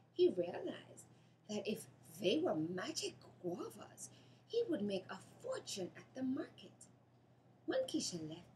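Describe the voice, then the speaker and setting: A woman's voice: a little high, a little mellow, slight background noise, poor audio. A Jamaican woman reads a children's story aloud, narrating expressively.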